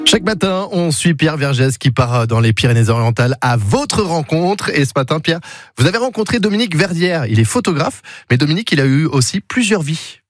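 A man's voice: a little high, sing-song, brisk, soft background music. A man hosting a morning radio show, upbeat and conversational between segments.

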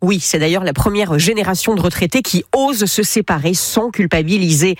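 A woman's voice: fairly low pitch, normal speed, no room sound, studio quality. A woman delivering a humorous radio column in a playful, teasing way.

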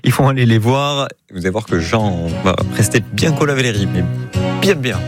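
A man presenting a live radio morning show, introducing a field report in a smooth, sing-song manner. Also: medium pitch, lively intonation, brisk. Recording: music bed under voice.

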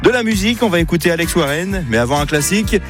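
High-voiced French radio host, a man. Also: animated, quick pace. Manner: chatty, playful. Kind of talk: upbeat live radio presenting. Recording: music bed behind the voice. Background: loud music.

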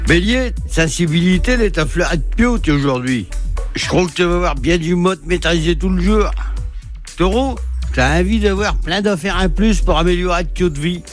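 A man's voice: sits high, lively intonation, moderate pace, soft background music. A man with a thick Picard accent reading a comic horoscope on regional radio, folksy and teasing. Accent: Picard (ch'ti). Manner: folksy, teasing, talks to listener as "tu".

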